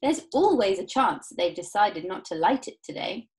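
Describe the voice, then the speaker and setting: A woman voicing a blithe, eccentric male factory owner in a storybook, calm and playfully amused. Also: medium pitch, moderate pace, close to an everyday voice. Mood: breezy, reassuring. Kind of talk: dramatic storybook reading.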